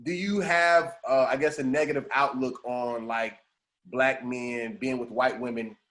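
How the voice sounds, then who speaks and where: A man in his thirties hosting a casual podcast interview, laughing often and chiming in with quick agreements. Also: mid-range voice, steady tone, a bit bright. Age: 30s. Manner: laughs often, repeats "right, right". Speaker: podcast host.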